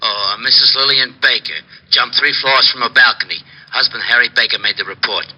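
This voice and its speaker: A man's voice: medium pitch, fairly quick, bright, forceful tone, poor audio. A man playing a dim, dutiful police sergeant in an old radio comedy-drama. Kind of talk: 1940s-50s radio drama acting.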